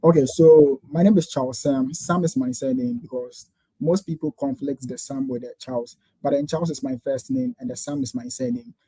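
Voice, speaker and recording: A man's voice: mid-range voice, dark tone, husky, dry recording, low-quality recording. A man in his twenties from Ghana with a Ghanaian accent, eagerly explaining his background as an interview guest. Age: 20s. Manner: quick, eager, explaining step by step. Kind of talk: interview answers.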